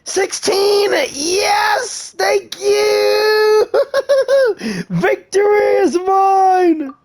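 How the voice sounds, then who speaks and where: A woman's voice: extremely high pitch, very slow. A woman giving casual, reactive commentary on a video game she is playing. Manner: expressive, reactive. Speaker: gamer commenting on a playthrough. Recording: game music behind the voice.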